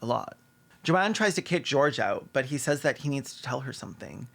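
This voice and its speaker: A man's voice: mid-range voice, sing-song, moderate pace, gravelly, fairly bright tone. A man delivering sarcastic recap commentary as a video host, mocking what he describes and acting out bits of dialogue. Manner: sardonic, mocking, acts out dialogue.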